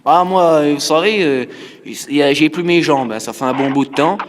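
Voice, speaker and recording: A man voicing a stubborn seventy-year-old country angler on a prank call, halting, repeating himself and rambling insistently. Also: on the high side, lightly acted. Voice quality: halting, repetitive speech. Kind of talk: prank phone call in character. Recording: phone prank with long reverb.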